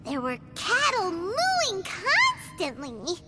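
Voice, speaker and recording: A woman voicing a tiny, childlike, excitable and cheeky girl guide with a squeaky voice in a video game. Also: slow pace, full character voice. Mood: chirpy. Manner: refers to herself in third person, exclamatory. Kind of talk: video game character acting. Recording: game music behind the voice.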